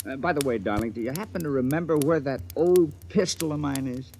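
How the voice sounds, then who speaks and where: A man's voice: mid-range voice, fairly quick, darkish tone, natural voice. An American man playing a scheming mechanical double posing as a loving husband, ingratiating and hesitant, in 1950s radio drama style. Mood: sly, affectionate. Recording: hissy 1950s radio recording.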